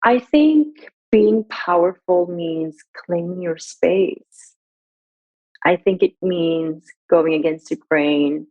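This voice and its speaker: Low, husky-edged woman, muffled and dry. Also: no echo. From Sweden. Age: young adult. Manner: thoughtful, measured, with frequent "um" and "you know". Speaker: guest, brand director. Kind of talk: podcast interview.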